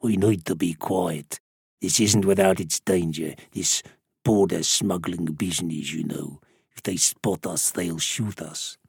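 A man voicing an ancient, gruff, secretive male border smuggler in a storybook, hushed, creaky and muttering. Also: lowish voice, a little slow, dry recording, clean studio sound, pitched below the performer's own voice, full character voice. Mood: wary, hushed.

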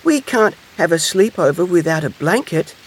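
A man voicing an eager, tender-hearted young hedgehog in a children's storybook, expressive and childlike. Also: a little high, unhurried, slight background noise, voice pushed up, natural voice, rough recording. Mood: sad, worried.

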